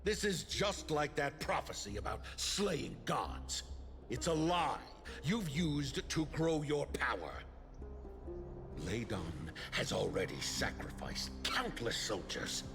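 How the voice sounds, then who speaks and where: Indignant rebel leader, gravelly edge. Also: male voice, mid-range voice, very animated, slow pace, exaggerated voice. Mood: bitter, indignant. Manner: emphatic, accusing rhetoric. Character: defiant, indignant male rebel leader of allied soldiers. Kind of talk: theatrical scripted game voice acting. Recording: music behind.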